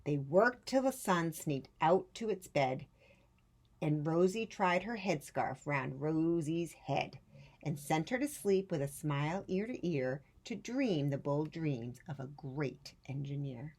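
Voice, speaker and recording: A woman storytime reader with a low voice. She narrates a children's book at a slow, measured pace and puts dramatic emphasis on her words. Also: expressive, darkish tone, slight background noise.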